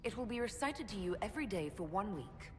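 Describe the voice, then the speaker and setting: An actress playing a composed, dryly witty heroine who commands an order in a fantasy video game. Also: female voice, mid-range voice, expressive, slight background noise, close to an everyday voice. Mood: dry, commanding. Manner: measured, dry, authoritative. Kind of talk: video game dialogue acting.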